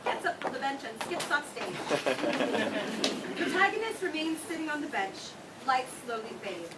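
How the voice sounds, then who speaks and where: Female stage directions reader, very high voice, scripted narration, very noisy recording. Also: sing-song, a little slow. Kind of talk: scripted narration at a live play reading. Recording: distant mic, very loud noise.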